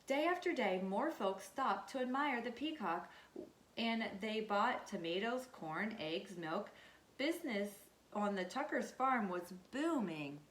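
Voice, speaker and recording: A woman's voice: medium pitch, expressive, measured pace. A woman teacher reading a children's storybook aloud and checking in with her listeners in an instructive way. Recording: echoey room.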